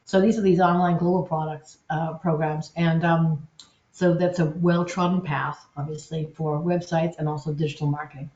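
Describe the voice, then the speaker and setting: A woman's voice: deep voice, little pitch movement, moderate pace, darkish tone, reverberant, muffled recording. A woman presenting a live webinar in an upbeat, enthusiastic, loosely conversational way. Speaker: webinar presenter, agency content officer.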